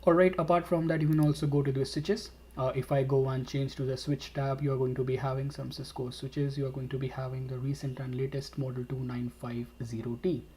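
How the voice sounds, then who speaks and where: A man's voice: medium pitch, flat delivery, fairly quick, hardly any pauses, dark tone. A man giving a live webinar tutorial, explaining steadily while demonstrating on screen, with frequent fillers. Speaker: instructor.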